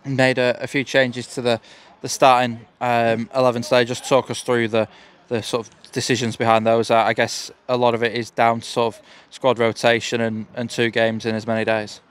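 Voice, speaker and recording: Male club interviewer, flat delivery, smooth clear voice, hesitant questions pitchside. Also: moderate pace. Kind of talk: post-match interview questions. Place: outdoors beside a football pitch.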